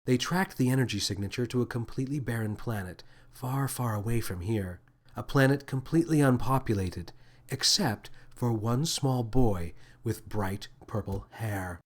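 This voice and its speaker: A man voicing a gentle, wise female alien officer with a soft, soothing, measured delivery in an audio story. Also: medium pitch, natural voice. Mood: solemn. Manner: calm, measured, thoughtful.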